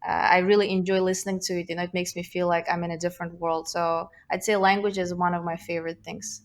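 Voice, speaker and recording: A young woman with a slight Russian accent talks as an interview guest. Her voice sits a little low and stays flat in pitch. She speaks thoughtfully, full of fillers and restarts. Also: quick pace. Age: young adult. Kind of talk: casual interview conversation.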